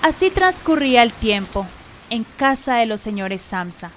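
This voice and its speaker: Woman narrator, fairly high voice, scripted storytelling, radio drama. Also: big pitch swings, moderate pace, a little hiss or hum.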